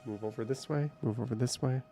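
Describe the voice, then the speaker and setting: Gravelly man chatting casually. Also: mid-range voice, quick pace, warm tone, soft background music. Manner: chatty, thinks aloud, swears casually. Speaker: live stream host. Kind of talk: casual live stream commentary.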